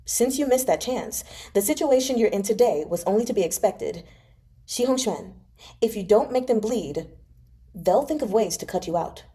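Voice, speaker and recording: A woman voicing a composed, calculating male adviser in a novel read-aloud, measured, reasoning and coolly persuasive. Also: mid-range voice, lively intonation, mellow, close to an everyday voice. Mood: coolly reproachful.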